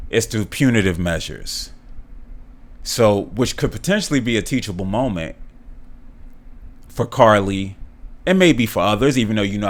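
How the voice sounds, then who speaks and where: A middle-aged man hosting a podcast alone, speaking earnestly, often repeating and restarting words and lifting his voice when he stresses a point. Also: fairly low pitch. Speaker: podcast host. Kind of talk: solo commentary.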